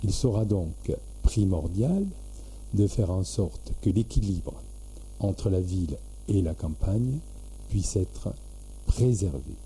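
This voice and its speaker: A man giving a formal, prepared explanation in a measured, halting way, in short administrative phrases. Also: deep voice, animated, mellow, slight background noise, poor audio. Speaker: local government official.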